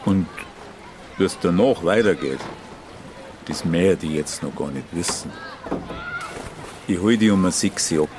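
A man in casual conversation, with a measured, halting delivery. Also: low voice, noisy background.

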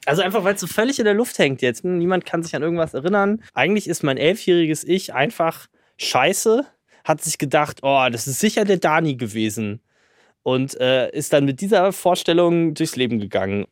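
A man's voice: fairly high pitch, expressive, brisk, no room sound, clean studio sound. A man, chatting casually in a self-deprecating, hesitant way. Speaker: podcast guest telling a personal story. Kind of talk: casual chat.

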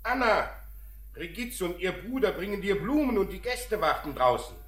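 A bustling father calling to his daughter in a radio play, with a lively, rising and falling voice. The room echoes around him, and music sits faintly underneath. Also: high-pitched, normal speed. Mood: urging.

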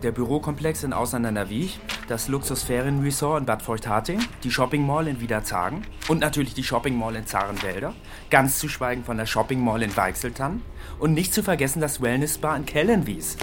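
Radio drama: ironic, needling male boss, played by an actor, very animated mid-pitched voice, rhetorical and persuasive. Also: a little fast, faint music behind, close to an everyday voice. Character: smooth-talking, needling male office boss prodding a colleague.

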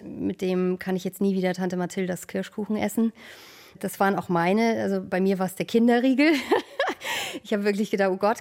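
Female interviewee, around forty, mid-pitched with marked vocal fry, heartfelt, emphatic anecdotes. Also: darkish tone. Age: 30s to 40s. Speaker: fan and interviewee. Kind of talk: personal interview storytelling.

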